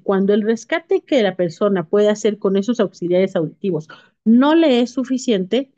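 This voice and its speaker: A woman teaching a class, explaining terms in a steady, patient way with occasional fillers and self-corrections. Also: mid-range voice, no echo, lo-fi sound.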